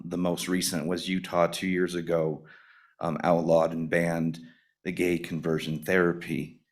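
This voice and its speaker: Slow, deep-voiced retired teacher. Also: male voice. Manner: deliberate, many ums and pauses. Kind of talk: public testimony. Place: legislative committee hearing room.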